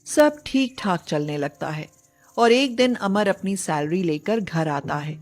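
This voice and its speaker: A woman's voice: lowish voice, lively intonation, a little slow, darkish tone, faint music behind. A woman reading scripted narration for a moral story, as a storyteller.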